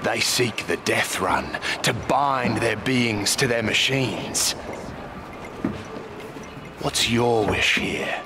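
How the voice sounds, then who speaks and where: Slow, grandiloquent wasteland race master. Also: male voice, lowish voice, expressive, background noise. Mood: grand, ceremonial. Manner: florid, rhyming, preacher-like oratory. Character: grandiloquent wasteland race master, sly theatrical hustler-preacher. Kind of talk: theatrical game character acting.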